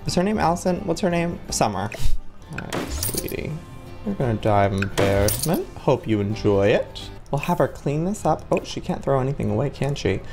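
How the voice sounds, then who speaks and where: A man in his twenties giving playful gameplay commentary, excitable, with exaggerated reactions. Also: medium pitch, normal speed, gravelly, music in the background. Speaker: gaming video host.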